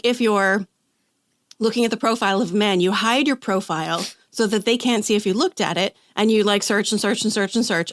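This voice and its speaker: A woman guest on a podcast, telling personal stories in a chatty way with quick asides, her throat a little rough after an illness. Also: medium pitch, dry recording, studio quality. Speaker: guest, author. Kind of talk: casual podcast conversation.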